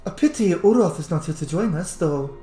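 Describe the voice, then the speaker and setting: A man's voice: a little high, animated, a little fast, mellow, voice pushed up, lightly acted. A man voicing a cold, haughty elven noblewoman with a thick accent and clipped, scornful delivery. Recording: roomy echo with faint music.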